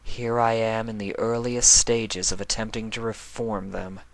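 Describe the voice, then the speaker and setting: A man's voice: lowish voice, steady tone, a little slow, vocal fry, dry recording, pitched above the performer's own voice. A man voicing a weary, brooding pony princess who reflects drearily and formally on her failures in a fan fiction reading. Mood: dreary. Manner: drearily introspective, formal diction.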